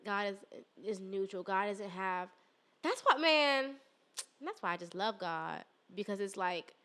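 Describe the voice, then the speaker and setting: A woman's voice: medium pitch, measured pace, clear, resonant tone. A woman podcast host talking earnestly in a casual spiritual chat, a little congested. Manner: earnest, animated, breaks into song.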